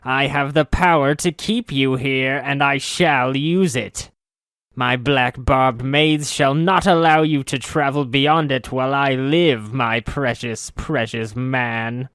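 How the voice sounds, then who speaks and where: Man putting on a high, strained witch voice, ancient hag, possessive, crooning, imperious, dry close sound. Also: steady tone, slow pace, a bit bright, clear, resonant tone, dry recording.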